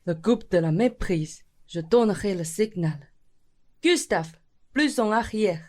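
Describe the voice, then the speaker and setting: A woman's voice: mid-range voice, animated, takes it slowly, darkish tone. Woman playing a bungling swindler duke, wildly swooping delivery. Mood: conspiratorial, tense. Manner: hesitant stammering, then effusive and bossy. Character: bungling male thief posing as a Spanish duke. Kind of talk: student radio play acting.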